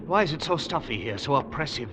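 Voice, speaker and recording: An actor in a 1950s radio drama playing a resolute, analytical explorer who reasons things out decisively. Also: male voice, on the high side, animated, quick pace, natural voice. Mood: grim. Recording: dull old radio recording, noisy background.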